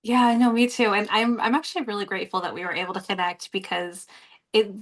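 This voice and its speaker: A woman's voice: mid-range voice, fairly even intonation, quick pace. A woman hosting a show, reading tarot for a guest in long, flowing, encouraging talk. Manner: talkative, warmly encouraging, talks in long flowing runs. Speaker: host and tarot reader. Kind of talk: casual chat, giving a tarot reading.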